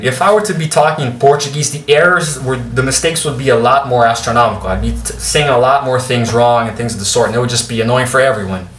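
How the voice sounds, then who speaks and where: A man's voice: mid-range voice, fairly bright tone. A young man from Brazil with an American accent, ranting to camera in an unscripted vlog, blunt and exasperated, swearing and tripping over his words. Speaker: YouTuber. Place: room indoors, talking to camera. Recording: roomy echo, a bit far from the mic.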